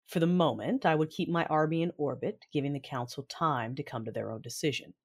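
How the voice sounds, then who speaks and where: A woman acting a proud, vengeful Sith commander and mother in an audio drama, narrating and speaking in a formal, measured, commanding way. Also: low-pitched, slightly rough. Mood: controlled, impatient. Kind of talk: first-person audio drama narration and dialogue.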